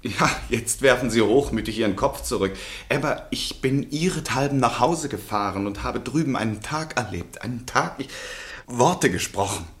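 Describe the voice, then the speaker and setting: An actor playing a proud, lovesick count in a radio play, formal and ironic. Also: male voice, mid-range voice, moderate pace, a bit bright, close to an everyday voice. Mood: agitated, pleading. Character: proud, wounded count in love, pleading and sardonic. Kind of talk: German literary radio play acting.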